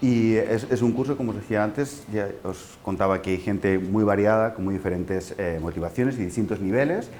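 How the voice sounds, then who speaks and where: A man speaking on camera at a language school, explaining its classes in a measured way with some fillers. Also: lowish voice, darkish tone. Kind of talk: explaining on camera during a report. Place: language school in London, indoors. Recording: echoey room, faint background noise.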